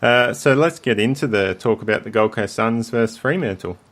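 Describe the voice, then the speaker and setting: A man with a croaky voice, hosting a casual sports podcast in a relaxed way with frequent ums. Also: mid-range voice, a little fast. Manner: relaxed, leads the segments, many ums. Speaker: podcast host.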